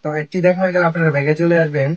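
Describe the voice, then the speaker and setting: Very fast-talking man giving a tutorial. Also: fairly high pitch, steady tone, dull audio. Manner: repetitive, instructional, step-by-step phrasing. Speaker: tech tutorial host. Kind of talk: step-by-step app tutorial voice-over.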